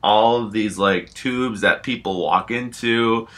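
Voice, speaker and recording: A man voicing a calm talking fish statue who tells stories in a rambling, hesitant, improvised way. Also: lowish voice, takes it slowly, voice pushed down. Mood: measured. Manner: rambling, hesitant, filler-heavy. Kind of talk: improvised storytelling.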